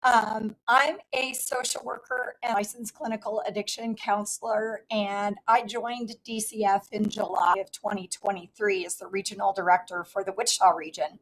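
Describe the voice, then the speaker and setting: A woman in her fifties, hoarse from losing her voice, giving formal testimony as a regional director over a remote call. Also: mid-range voice, moderate pace, hardly any pauses, a little hiss or hum, low-quality recording. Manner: earnest, steady, with fillers. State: hoarse, lost voice. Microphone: remote call audio.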